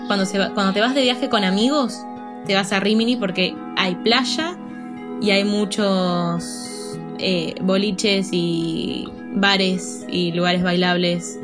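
Woman in her 20s, argentine accent, relaxed storytelling, soft music behind. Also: medium pitch. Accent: Argentine Spanish. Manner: chatty, self-deprecating, with fillers and laughs. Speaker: interview guest. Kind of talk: casual storytelling.

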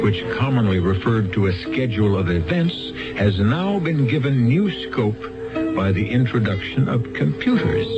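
A man hosting a 1970s radio mystery show, reading scripted narration in a wry, deliberate storyteller's way. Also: lowish voice. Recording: dull 1970s radio broadcast, loud music.

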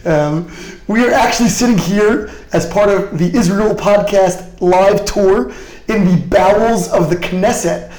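A man hosting a podcast interview in a chatty, curious, casual way, quick to react to what he hears. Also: high voice, darkish tone, roomy sound, low-quality recording. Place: indoor office in a parliament building.

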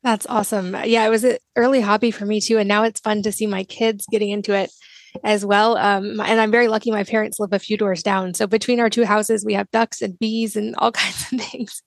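Chatty woman podcast host, bit of vocal fry, dry sound. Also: medium pitch, flat delivery, brisk, no room sound. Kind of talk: podcast interview.

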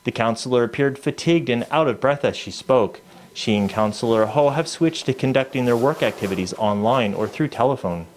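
A man narrating a news report in a measured, formal way. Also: mid-range voice, a little mellow, slight background noise, low-quality recording.

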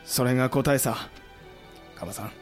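A man's voice: mid-range voice, unhurried, soft background music, natural voice. A male actor playing a blunt, terse, cool-headed samurai warrior commander in a historical audio drama. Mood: quietly sincere.

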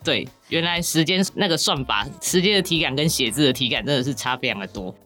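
A Taiwanese woman speaks in a low voice that swings widely in pitch. She chats rapidly and casually as a podcast guest, with a self-deprecating manner. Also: animated, a bit bright, soft background music. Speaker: podcast guest, novel author. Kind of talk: casual chat.

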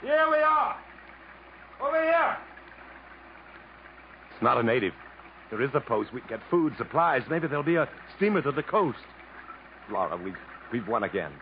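An actor playing a determined, protective adventurer in an earnest, urgent, dramatic 1950s radio style. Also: male voice, medium pitch, wildly swooping pitch, normal speed, long pauses. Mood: urgent, hopeful. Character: determined, protective English adventurer stranded in the jungle. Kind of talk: 1950s American radio drama acting, with first-person narration. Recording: muffled, noisy 1950s radio broadcast.

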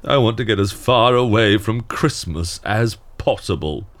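Jaded, low-voiced, grumbling Santa Claus. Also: male voice, lively intonation, measured pace, a bit bright. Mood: weary, resolute. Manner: grumbling, dry, world-weary. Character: jaded, fed-up department-grotto Santa Claus wanting out. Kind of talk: scripted audio comedy drama acting.

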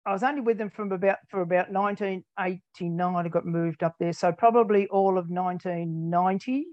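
A man's voice: sits high, a little fast, no room sound. An older man from Tasmania with an Australian accent, telling his story as an interview guest in a rambling, self-deprecating way with many ums. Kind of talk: casual conversational storytelling. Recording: dull, dry lo-fi sound.

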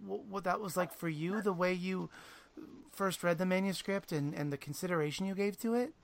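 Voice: medium pitch, fairly quick, creaky. A podcast host chatting casually and laughing while asking questions. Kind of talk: casual interview chat.

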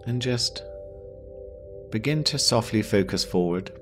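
A man guiding a yoga nidra meditation, giving gentle instructions slowly with long pauses. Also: fairly low pitch, animated, over music. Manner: slow, pausing, gentle instruction. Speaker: meditation guide.

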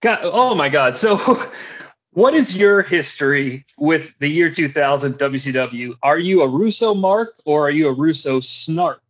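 A man hosting a podcast in casual, jokey chat with animated reactions. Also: a little high, measured pace. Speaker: podcast host. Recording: dull lo-fi podcast audio.

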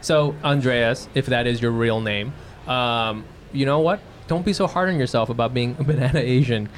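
A man's voice: mid-range voice, normal speed, a little hiss or hum. A man with an American accent hosting a podcast in casual comic banter, joking and swearing lightly. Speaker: podcast host.

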